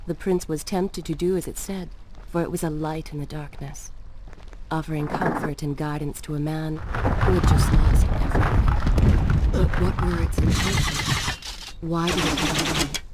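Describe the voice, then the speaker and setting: An actress playing a grave, wistful empress who narrates a fantasy game story in a measured, mysterious way. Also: female voice, low voice, steady tone, natural voice. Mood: solemn, foreboding. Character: solemn, wistful female storyteller empress of time. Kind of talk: scripted dramatic narration. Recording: heavy noisy ambience behind the voice.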